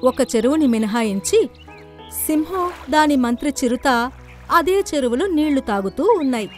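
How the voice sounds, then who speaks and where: High voiced lady narrating a kids story, bit of music behind. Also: lively intonation, moderate pace. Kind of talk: scripted children's story narration.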